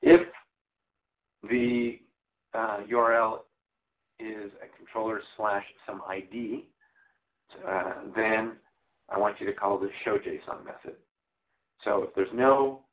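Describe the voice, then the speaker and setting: A man's voice: deep voice, highs cut off. A man giving a live coding walkthrough as a tech presenter, casual and explanatory with ums and uhs, and he is losing his voice. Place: conference presentation.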